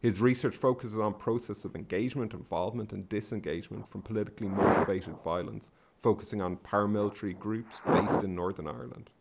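A man's voice: lowish voice, fast, gravelly, hardly any pauses, heavy background noise. A man hosting a research podcast, reading a scripted introduction of his guest with small stumbles. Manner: reads intro, slight stumbles. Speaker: podcast host.

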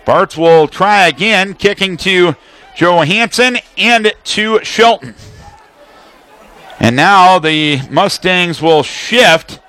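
Guy calling a football game on the radio, high forceful voice, short clipped phrases. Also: expressive, a faint crowd. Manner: rapid-fire situational detail in clipped phrases. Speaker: football play-by-play announcer. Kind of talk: live radio play-by-play commentary.

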